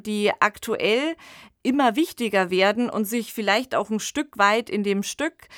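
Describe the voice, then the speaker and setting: A woman's voice: medium pitch, fairly bright tone, no echo, clean studio sound. A woman hosting a podcast discussion in a thoughtful, colloquial conversational way. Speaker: podcast host.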